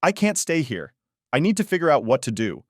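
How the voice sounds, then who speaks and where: A man's voice: medium pitch, lively intonation, moderate pace, no echo, clean studio sound, voice pushed up, lightly acted. A man voicing a distraught, anxious wife who pleads in agitation in an audiobook drama. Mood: agitated, anxious.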